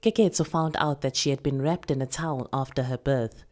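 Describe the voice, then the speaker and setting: A South African woman reading a literary short story with expressive, deliberate, dramatic delivery. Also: very deep voice.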